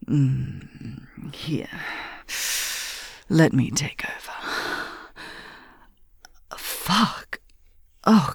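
Slow, breathy whispering woman. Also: very deep voice, no room sound, studio quality. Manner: teasing, heavy whispering with soft laughs. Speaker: audio roleplay performer. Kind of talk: intimate whispered adult audio roleplay. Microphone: close mic.

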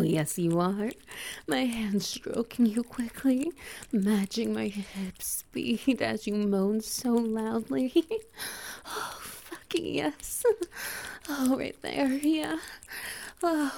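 A woman voicing a slow, gravelly-whispering dominant male lover. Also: on the high side, full character voice. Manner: breathy whispering, soft laughs, praising. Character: dominant, doting adult male alpha lover. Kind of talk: intimate audio roleplay.

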